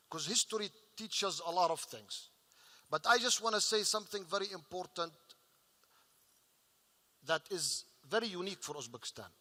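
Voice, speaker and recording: A man speaking as an investor on a panel, earnest and halting, with many fillers. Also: high voice, sharp tone. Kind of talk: panel discussion remarks. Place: large conference hall. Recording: reverberant conference hall.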